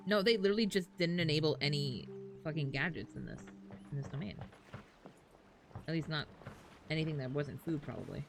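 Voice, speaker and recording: A woman's voice: low-pitched, brisk, vocal fry, pauses often. A woman livestreamer giving casual, blunt and reactive commentary while she plays a game. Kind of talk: casual gaming stream commentary. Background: music.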